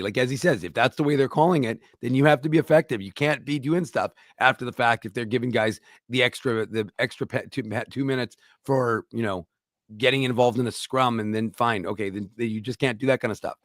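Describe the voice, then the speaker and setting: A man's voice: medium pitch, a little fast, no echo, studio quality. A man co-hosting a hockey talk show, giving emphatic, opinionated takes in casual chat.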